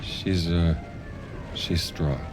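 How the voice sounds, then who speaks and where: An actor playing a gruff, weary middle-aged American adventurer archaeologist in a cinematic game. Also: male voice, deep voice, fairly even intonation, drawn-out words, over music. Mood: dry, guarded. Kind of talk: cinematic video game acting.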